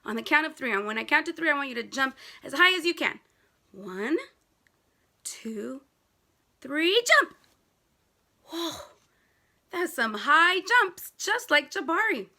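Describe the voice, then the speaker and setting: A woman reads a storybook aloud to young children in a high-pitched, bright voice. She is animated and interactive, putting questions to her listeners and repeating words for drama. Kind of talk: animated, interactive picture-book read-aloud.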